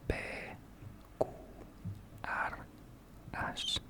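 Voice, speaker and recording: A speaker from Finland with a Finnish accent, whispering an ASMR video softly and slowly, with small laughs. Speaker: ASMR creator.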